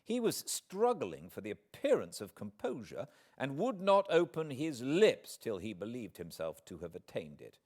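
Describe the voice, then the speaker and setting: A man's voice: medium pitch, huge pitch swings, normal speed, few pauses, a little mellow. A man with a British accent giving a dramatic literary narration as an audiobook reader. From Britain.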